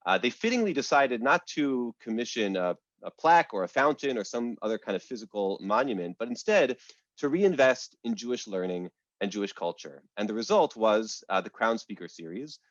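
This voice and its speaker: A man hosting and introducing guests over a video call, speaking in a measured way with frequent "uh" fillers. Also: on the low side, no room sound. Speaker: academic host and moderator. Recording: dull, lo-fi video call audio.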